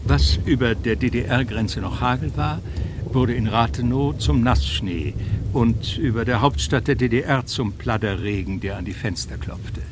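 A man's voice: medium pitch, a little slow, background noise. A man reading scripted literary narration.

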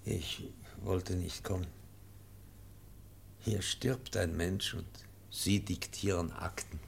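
A man's voice: deep voice, fairly even intonation, takes it slowly, creaky, husky, full character voice. An actor playing a solemn, grieving male professor and devoted teacher in a radio drama, emphatic and bitter. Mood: bitter, indignant.

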